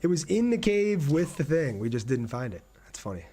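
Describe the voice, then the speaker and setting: A man's voice: a little high, very animated, brisk, warm tone, breathy edge. Casual male game streamer, chatting along. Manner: chatty, thinks aloud, reads messages out. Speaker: game streamer reading viewer chat. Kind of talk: casual live gameplay commentary.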